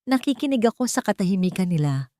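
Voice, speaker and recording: A woman voicing a calm, patient young woman therapist in an audiobook drama, soft-spoken, respectful and unshaken. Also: mid-range voice, fast talker, dry recording, clean studio sound, natural voice.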